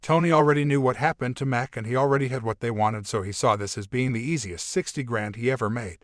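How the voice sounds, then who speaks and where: A man's voice: mid-range voice, fast talker, no room sound. Dry, close-sounding male story narrator.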